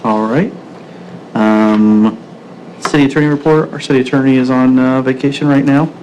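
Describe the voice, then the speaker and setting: A man's voice: medium pitch, fairly even intonation, normal speed, a little mellow. Informal man chairing meeting, noisy room. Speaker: mayor chairing a city meeting. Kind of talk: procedural public meeting talk. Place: indoor city council meeting room.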